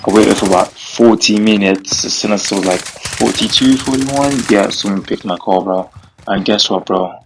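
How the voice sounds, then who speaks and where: A man's voice: deep voice, background noise. A young man casually telling a story, rambling and filling it with "bro" and "like". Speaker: gaming vlogger.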